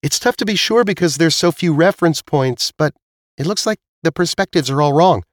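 Man voicing a higher-pitched, earnest young woman. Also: expressive, brisk, no room sound, studio quality, lightly acted. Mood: explaining. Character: injured young woman, sharp, earnest, bantering. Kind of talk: audiobook character dialogue.

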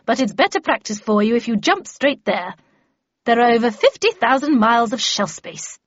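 A woman voicing a brisk, bossy, cheerful older lady mentor in an audiobook. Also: on the high side, expressive. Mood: instructing. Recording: muffled audio, roomy echo.

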